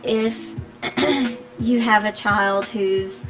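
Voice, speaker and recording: A woman speech-language pathologist, a guest on a radio interview, explaining clearly and patiently with frequent ums. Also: a little high, fairly even intonation, extremely slow, low-quality recording. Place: radio studio. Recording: radio studio with music behind.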